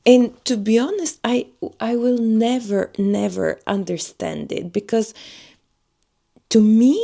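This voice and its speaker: Slow, gravelly-voiced woman vlogger. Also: mid-range voice, dark tone. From Albania. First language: Albanian. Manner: earnest, slow, searching for words. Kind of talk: personal reflective monologue.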